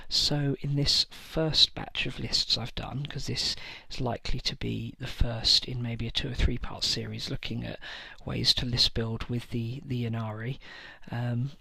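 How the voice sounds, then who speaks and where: A man hosting his own tabletop wargaming channel, talking casually through army lists with frequent pauses and filler words. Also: medium pitch, flat delivery, gravelly, sharp tone, slightly rough, no echo. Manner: hesitant, many fillers and pauses. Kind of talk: casual list-building talk to camera.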